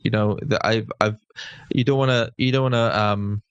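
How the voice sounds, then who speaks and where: A man's voice: on the low side, steady tone, normal speed, clean tone, lo-fi sound. A man with a Swansea Welsh accent, a guest guitarist chatting casually and easygoing, often restarting his sentences. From Wales. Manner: easygoing, hesitant with repeated restarts. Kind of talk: casual chat.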